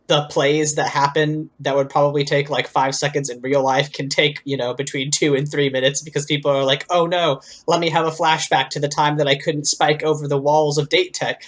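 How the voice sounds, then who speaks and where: A man co-hosting a podcast, giving informal, discursive commentary full of asides in a conversational way. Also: on the high side. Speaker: podcast co-host.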